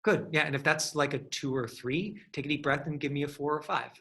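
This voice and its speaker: Bright, higher-pitched male acting coach. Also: brisk, vocal fry. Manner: encouraging, instructive. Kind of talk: hands-on acting lesson.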